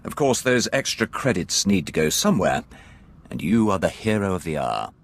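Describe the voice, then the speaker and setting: An actor playing a curt, smug and impatient Imperial contact in a video game cutscene. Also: male voice, low-pitched, sing-song, moderate pace, a little hiss or hum. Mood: pleased, smug.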